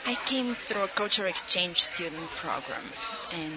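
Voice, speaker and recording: A woman from Bulgaria, an artist, calmly telling her story as a radio guest. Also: lowish voice, moderate pace, heavy background noise.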